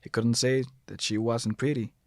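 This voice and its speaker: A man's voice: mid-range voice, little pitch movement, moderate pace, dry recording, studio quality. A man reading scripted narration for an audio drama.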